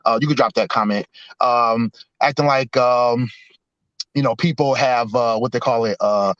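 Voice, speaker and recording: A man's voice: mid-range voice, normal speed, a bit bright, dry recording, dull audio. A man from Detroit co-hosting a live podcast, talking in a laid-back way full of fillers and "yeah, no". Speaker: co-host. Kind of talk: casual live podcast chat.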